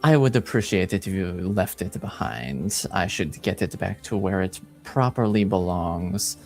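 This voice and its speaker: Guy doing an aloof duke for a tabletop game, lowish voice, curt n very formal, bit of music under it. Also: animated, a little slow. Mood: reserved, formal. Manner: clipped, stiffly formal phrasing. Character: formal, reserved, aloof duke, curtly courteous.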